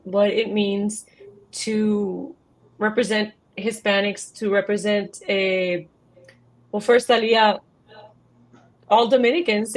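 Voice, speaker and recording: A woman interviewer asking questions in a slow, measured way. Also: mid-range voice.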